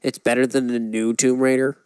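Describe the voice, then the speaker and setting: A man's voice: medium pitch, little pitch movement, fairly quick, darkish tone, clean tone, no echo. A man co-hosting a podcast, talking casually about films in an opinionated, emphatic way. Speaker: podcast co-host. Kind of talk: casual film discussion.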